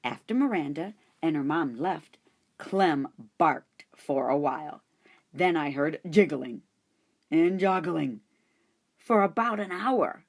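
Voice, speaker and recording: A woman reading a children's chapter book aloud in an expressive storytelling manner. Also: low-pitched, takes it slowly. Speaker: audiobook reader. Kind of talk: children's audiobook narration.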